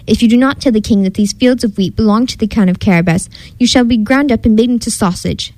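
A woman's voice: on the high side, quick pace, a little mellow, dry recording, natural voice, rough recording. A woman voicing a cunning, bold male talking cat servant from a storybook, in a commanding, theatrical way. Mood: commanding threat.

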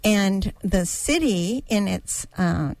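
A woman co-hosting a radio talk show, speaking deliberately with pauses and fillers. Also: medium pitch, animated, vocal fry, darkish tone, no room sound. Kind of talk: casual radio chat.

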